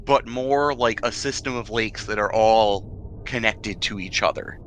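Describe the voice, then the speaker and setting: Male game master, bright forceful voice on the lower side, conversational explainer improvising the narration. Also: a little slow, a little hiss or hum.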